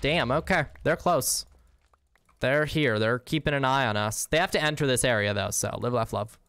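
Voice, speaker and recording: A man's voice: mid-range voice, normal speed, a bit bright, clean tone, dry recording. A young man casually chatting with viewers while playing a game, slangy and easygoing, with the odd swear. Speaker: streamer. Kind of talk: casual game livestream, reading chat.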